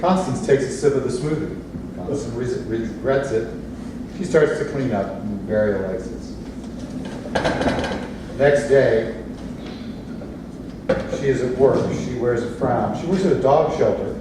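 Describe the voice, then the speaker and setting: A man's voice: mid-range voice, expressive, a little slow, long pauses. A man reading stage directions as scripted narration at a staged play reading. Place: theatre space, recorded from audience distance. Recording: echoey, distant, noisy room recording.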